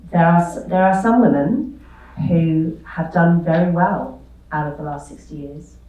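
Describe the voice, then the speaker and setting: Quiet, low-voiced woman in a big echoey hall. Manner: quiet, measured. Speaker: panelist, author. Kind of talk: panel discussion. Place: lecture hall. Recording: very echoey hall, voice far from mic.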